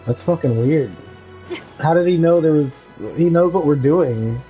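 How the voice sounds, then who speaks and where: A man's voice: on the high side, a little slow. A man streaming a video game, commenting casually as he plays. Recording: dull muffled sound, faint game music.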